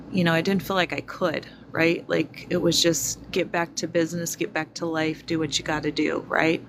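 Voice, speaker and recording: A woman in her forties speaking slowly and reflectively to her viewers, pausing often with fillers. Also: fairly low pitch, noisy background. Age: 40s. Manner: slow, reflective, many fillers. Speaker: spiritual vlogger. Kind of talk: personal testimony to viewers.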